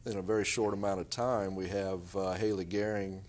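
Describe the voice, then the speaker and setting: A man's voice: low voice, flat delivery, brisk, a little mellow. A middle-aged man answering interview questions conversationally as a basketball coach.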